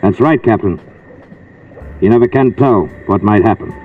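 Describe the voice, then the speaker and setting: A man's voice: lowish voice, moderate pace, narrow, tinny audio, loud music behind the voice, natural voice. Coolly menacing man acted out, distant old radio. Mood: quietly menacing. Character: coolly menacing diver with a murderous secret. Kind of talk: 1950s radio drama acting.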